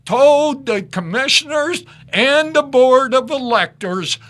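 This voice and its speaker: Slow, high, bright-voiced man. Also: expressive. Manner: blunt, emphatic, indignant. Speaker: radio show host. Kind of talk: talk radio political chat.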